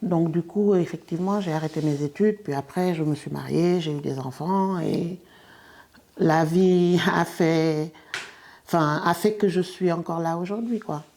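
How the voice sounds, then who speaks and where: A woman in her sixties who grew up in Senegal talks about her life for a documentary. Her voice sits low and mellow, and she speaks in an unhurried, reflective way, letting sentences trail off. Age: 60s. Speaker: interviewee. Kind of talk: documentary interview.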